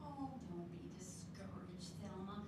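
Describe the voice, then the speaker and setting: A woman's voice: medium pitch, measured pace, close to an everyday voice. An older woman reading the part of a blunt, humorous old woman who loves her food, in a readers theatre play. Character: blunt, hungry older woman friend, fond of sweets. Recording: echoey hall, distant mic, background noise.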